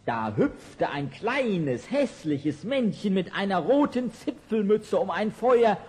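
An earnest male messenger in an old fairy-tale radio play. His voice is high and husky, and he delivers his report slowly and dramatically. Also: big pitch swings, a little mellow, exaggerated voice. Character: loyal royal messenger, earnest male servant.

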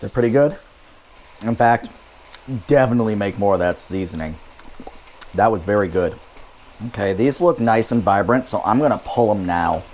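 Man, cooking show host, slightly low voice, casual, wry asides. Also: lively intonation, normal speed, long pauses, darkish tone, a little hiss or hum, rough recording.

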